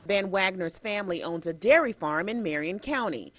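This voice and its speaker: A woman's voice: expressive, moderate pace, slight background noise. A woman working as a TV news reporter, reading scripted news narration. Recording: dull, muffled low-fidelity audio.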